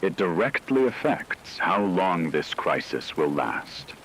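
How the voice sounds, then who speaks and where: A low-pitched actor playing a male radio correspondent delivers a grave emergency report. He is formal and exact, laying out technical detail like a scripted bulletin. Faint noise sits behind the voice. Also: big pitch swings, slow, fairly bright tone, exaggerated voice, rough recording. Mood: grave, measured. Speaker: radio news broadcaster.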